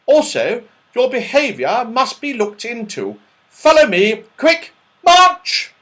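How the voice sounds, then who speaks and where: Man doing toy soldier captain, raised pitch, loud, clipped, commanding, officious, children's storybook. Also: very animated, slow, heavily acted. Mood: stern, commanding.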